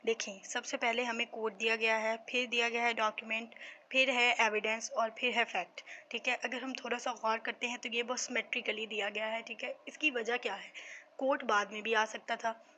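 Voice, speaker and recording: A woman with a Pakistani accent teaches in an informal lecture style. Her voice is high and very bright, and she often poses questions and then answers them. The recording has some background noise. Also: flat delivery, moderate pace, low-quality recording. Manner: conversational, asks and answers own questions. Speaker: law teacher.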